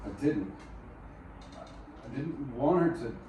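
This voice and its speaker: A man acting a broken, guilt-ridden musician in a dramatic table read, halting and stammering. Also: medium pitch, expressive, muffled recording, background noise. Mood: anguished denial. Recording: very echoey room, far from mic.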